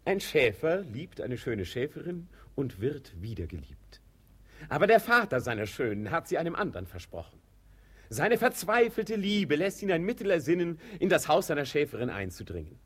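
An actor playing an ardent young lover who poses as a courteous singing teacher in a German radio comedy, with formal, theatrical delivery. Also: male voice, on the high side, big pitch swings, moderate pace. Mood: courteous, explaining. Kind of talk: German radio play acting of classic stage comedy.

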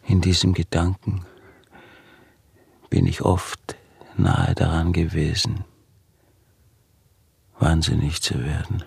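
Husky, slow, obsessive male recluse. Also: low voice, level tone, no room sound, full character voice. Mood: haunted, brooding. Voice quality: husky, gravelly, breathy, often near whisper. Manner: halting, brooding, insistent repetition. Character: obsessive male recluse tormented by his acute hearing. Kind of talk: intimate radio drama monologue.

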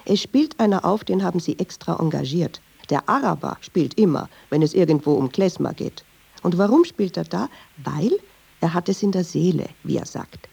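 A chatty, sardonic woman telling a story in a radio play. Her voice is husky and creaky, with lively swings in pitch. She digresses freely in colloquial speech and is quick to take offence. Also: full character voice. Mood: sardonic storytelling. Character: talkative, wry woman storyteller, easily offended.

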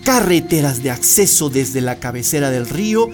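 A scheming company manager in a radio drama. The voice is low and theatrical in its rises and falls, wordy and flattering, with music behind it. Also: female voice, normal speed. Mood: oily, boastful. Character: smooth-talking, scheming male mining company manager. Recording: music under the voice.

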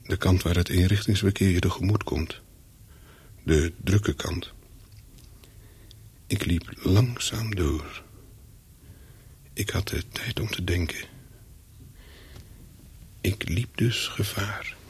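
A hunted spy courier in a radio thriller, with a low and very husky voice. He narrates in the first person, cool and calculating, in short deliberate sentences. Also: a little mellow, heavily acted. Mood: hushed, tense. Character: hunted male courier of smuggled microfilms, wary, coolly calculating.

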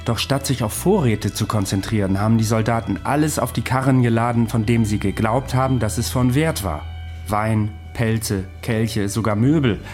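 An actor playing a world-weary, sceptical military adviser, speaking in a blunt, sober way in a radio drama. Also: male voice, fairly low pitch, normal speed, a little mellow, faint music behind, natural voice. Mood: sober recounting. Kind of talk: radio drama acting and narration.

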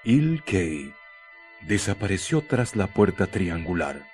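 A man's voice: deep voice, big pitch swings, slow, a little mellow, soft background music. A man narrating a radio drama in a scripted, dramatic storytelling style.

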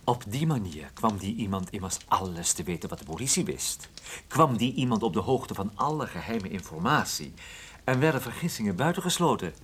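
A man's voice: lowish voice, very animated, a little fast, a bit bright, slight background noise. Een man die in een hoorspel een droge, sardonische en onbuigzame privédetective speelt. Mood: explaining. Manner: dry, sardonic. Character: wry, unyielding male private detective confronting a criminal. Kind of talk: radio drama acting.

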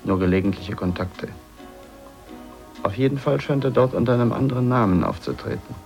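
An actor playing a guarded male informant in a radio drama, gravelly and clipped in his reporting. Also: mid-range voice, brisk, darkish tone, soft background music, natural voice.

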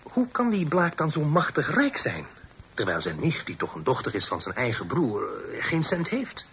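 Witty, animated young gentleman brother. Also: fairly high pitch, moderate pace. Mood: curious, indignant. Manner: mock-pompous, bantering, old-fashioned phrasing. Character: witty, well-bred young brother, mock-stern and bantering. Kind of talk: Dutch radio play acting. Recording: noisy old radio drama recording.

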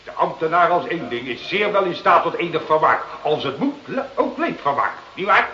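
A man's voice: on the high side, sing-song, moderate pace, bright, forceful tone, slight background noise. A man acting in a Dutch radio play as a sly, pompous small-town mayor, officious, hemming and full of insinuation. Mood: insinuating. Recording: muffled, echoey old radio drama recording.

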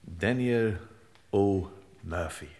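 An actor playing a hard-boiled, sardonic detective boss in an old radio crime play, commanding and sarcastically florid, in a reverberant space. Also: male voice, deep voice, very slow, a little mellow, exaggerated voice. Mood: grim, dry.

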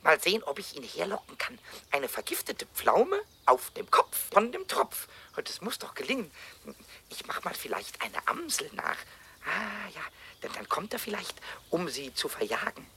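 A man playing a cheeky, childlike little kobold in a 1970s children's radio play, squeaky and excitable, stammering and rhyming. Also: moderate pace, slightly rough, full character voice. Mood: scheming. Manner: stammering repeats, rhyming, excitable muttering and shrieking.

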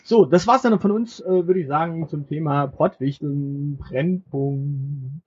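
A man co-hosting a casual podcast, talking in a wry, halting way with many fillers, and acting as game master for a short role-play game. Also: a little high, darkish tone, muffled recording, slight background noise.

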